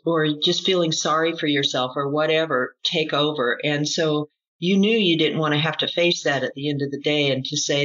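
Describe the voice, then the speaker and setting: A woman interview guest giving reflective testimony in an earnest, deliberate way, building her points through repetition. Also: low-pitched, a bit bright. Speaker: interview guest, former group member recounting experience. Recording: dull lo-fi audio.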